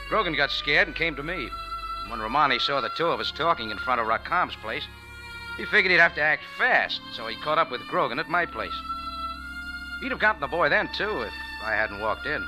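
A man's voice: mid-range voice, lightly acted, low-quality recording. An actor playing a wry, laconic, hard-boiled cafe owner and sleuth in a 1940s radio drama, telling his story in colloquial style. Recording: old radio recording with music.

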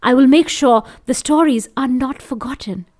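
A woman's voice: high voice, moderate pace, a little mellow, pitched above the performer's own voice. A woman voicing a curious, earnest young orphan boy in a folk tale, with an eager, questioning manner. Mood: grateful.

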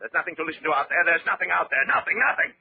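An actor playing a bullying, secretly terrified German officer in a 1940s radio horror drama, barking commands insistently. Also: male voice, a little high, big pitch swings, racing, vocal fry. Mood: agitated, ordering. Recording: scratchy, narrow-band 1940s radio recording.

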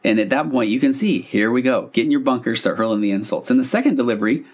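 A man's voice: mid-range voice, fast talker, darkish tone. A man hosting a podcast, talking in a casual, explanatory, conversational monologue. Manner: conversational, acting out example dialogue lines. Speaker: podcast host.

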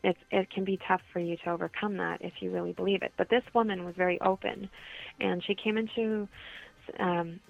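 A woman's voice: lowish voice, gravelly. A woman guest in a radio interview, speaking conversationally as a healer and explaining things earnestly. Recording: tinny phone line.

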